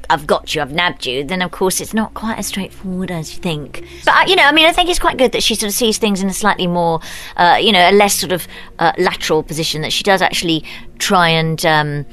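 Low-voiced British actress in interview. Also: female voice, animated, a little fast, fairly bright tone. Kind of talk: behind-the-scenes interview.

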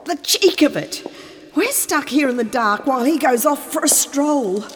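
A woman's voice: high-pitched, lively intonation, normal speed, slight background noise. An actress playing a feisty, impatient young woman traveller in an audio drama, blunt, sarcastic and exasperated. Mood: defiant. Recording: long cavernous reverb.

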